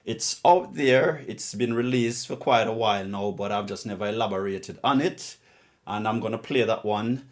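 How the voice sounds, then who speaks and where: A man's voice: fairly low pitch, moderate pace. A man hosting a music show, introducing it in a casual, informal and unscripted way.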